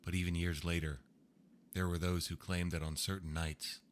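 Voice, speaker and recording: A man reading old ghost stories aloud in a slow, hushed storytelling manner. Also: deep voice, little pitch movement, slight background noise. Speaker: narrator. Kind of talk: scripted ghost story narration.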